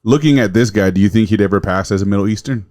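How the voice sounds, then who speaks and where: Low-voiced laid-back podcast host man. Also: fast, a little mellow, no echo, clean studio sound. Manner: laid-back, says "man" often, laughs easily. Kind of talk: casual podcast interview.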